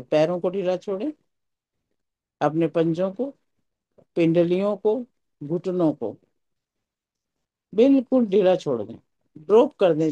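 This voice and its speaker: A meditation teacher giving calm, soothing guided instructions in short phrases with pauses. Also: mid-range voice, dry recording, muffled recording.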